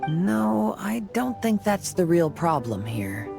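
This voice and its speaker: Low, unhurried, gentle young man. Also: very animated, gravelly, over music, heavily acted. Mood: calm, observant. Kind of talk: video game voice acting.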